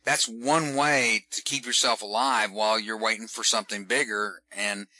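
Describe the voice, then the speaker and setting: A man with a Southern Texan accent, a comedian giving folksy, animated advice in an interview, often repeating words as he starts a sentence. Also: lowish voice, normal speed, no echo. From Texas. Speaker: stand-up comedian guest. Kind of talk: casual interview talk.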